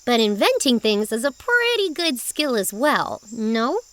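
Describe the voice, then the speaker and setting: High, spirited young girl. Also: very animated, a little slow, a bit bright. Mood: challenging, cheeky. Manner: challenging, playful. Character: spirited, quick-witted young girl, cheeky and bold. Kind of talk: children's audio drama acting.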